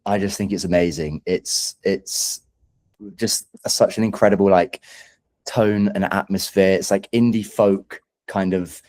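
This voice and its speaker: A man's voice: sits low, steady tone, a little slow, darkish tone. A man who is a singer-songwriter guest in a casual interview, speaking in a hesitant, thoughtful, self-deprecating way.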